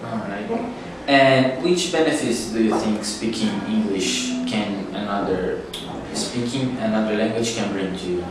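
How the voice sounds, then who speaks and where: A man's voice: fairly low pitch, unhurried, few pauses. A man asking simple interview questions with short acknowledgements. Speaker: student interviewer. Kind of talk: class project interview. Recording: roomy echo, distant mic, steady noise.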